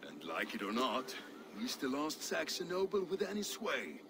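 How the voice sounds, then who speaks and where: An actor playing a blunt, scornful, sardonic warrior adviser in a video game cutscene. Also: male voice, mid-range voice, wildly swooping pitch, normal speed, background noise. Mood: wry, blunt. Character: gruff, scornful male Danish warrior ally, blunt adviser.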